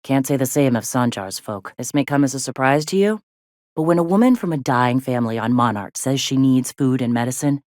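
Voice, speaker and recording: An actress playing a tough, weary rebel medic and second-in-command in a video game, speaking in a blunt, sardonic, foul-mouthed way. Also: female voice, extremely low pitch, a little fast, a little mellow, no echo, clean studio sound. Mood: blunt, guarded. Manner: blunt, earthy, plain-spoken, sardonic. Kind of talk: video game dialogue acting.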